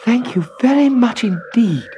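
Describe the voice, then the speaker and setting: A man's voice: very high-pitched, animated, a little slow, warm tone, highs cut off, soft background music, voice pushed up. A man voicing a timid, polite little girl in a storybook reading.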